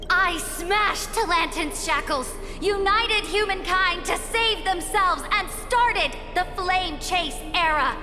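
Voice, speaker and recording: Game role: unrepentant warrior empress, high and declamatory, long reverb, clear music behind. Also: female voice, sharp tone, exaggerated voice. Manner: grand, declamatory, formal oratory. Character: proud, imperious conquering empress, commanding and unrepentant. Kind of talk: dramatic video game voice acting.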